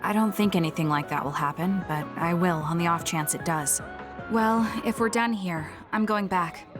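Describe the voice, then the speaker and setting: A cool young female soldier performed by an actress for a video game. She has a slightly low voice and talks with blunt, reserved directness. Music is clearly heard behind her lines. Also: normal speed, close to an everyday voice. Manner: matter-of-fact, reserved, blunt. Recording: studio voice under loud game music.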